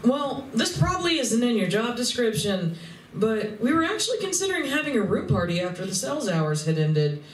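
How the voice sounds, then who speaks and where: A sardonic, scolding saleswoman from a sci-fi radio comedy as a woman acts her out. Her voice is high and swings widely in pitch. It is picked up from a distance in a reverberant room. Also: sing-song, fairly quick. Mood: businesslike, wary. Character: sardonic, exasperated female space-traveling con vendor. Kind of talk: live stage radio play acting. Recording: roomy, distant recording with faint noise.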